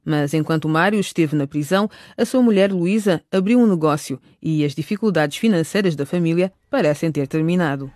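A radio drama narrator with a mid-pitched voice, reading scripted narration. The sound is dry and close, with no room around it. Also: fairly quick, few pauses, darkish tone, dry recording.

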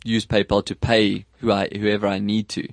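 A man with an English South African accent hosting a casual tech chat, animated and quick to put on voices when quoting people. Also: fairly low pitch, no room sound. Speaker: podcast host.

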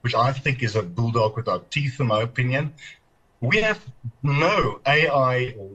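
Husky-voiced man explaining earnestly. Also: mid-range voice, lively intonation, moderate pace, pushed and bright, dull audio. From South Africa. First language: Afrikaans. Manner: earnest, explanatory, self-correcting. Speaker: technology commentator guest.

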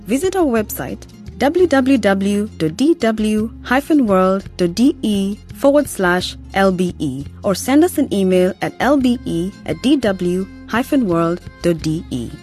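A woman's voice: mid-range voice, expressive, a little slow, a little mellow. A woman reading a scripted outro as the announcer of a radio series. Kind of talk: scripted narration, programme outro. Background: music.

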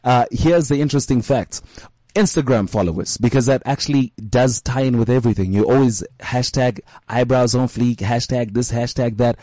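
A man's voice: medium pitch, normal speed, warm tone, no echo, rough recording. A man hosting a casual interview show, rambling with many fillers and restarts. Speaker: show host.

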